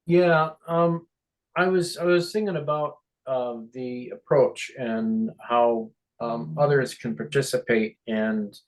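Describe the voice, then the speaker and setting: A man speaking hesitantly and haltingly as he thinks aloud in a group conference call discussion. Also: medium pitch, rough recording. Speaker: meeting participant, radio monitoring volunteer.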